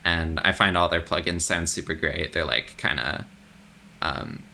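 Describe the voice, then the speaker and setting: A man with a very low, gravelly voice that still carries a bright, cutting edge. He talks in a loose, chatty way and drops casual swears as a guest on a podcast. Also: steady tone, a little slow, slight background noise. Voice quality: deep voice. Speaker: guest, extreme metal vocalist. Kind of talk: casual interview chat.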